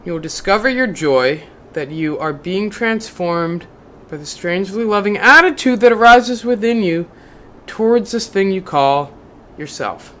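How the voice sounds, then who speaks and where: A vlogger who reads a book passage aloud in a raised, measured voice, then talks casually and bluntly with plenty of swearing and rambling fillers. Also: mid-range voice, lively intonation, a bit bright. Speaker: video maker reading a book aloud.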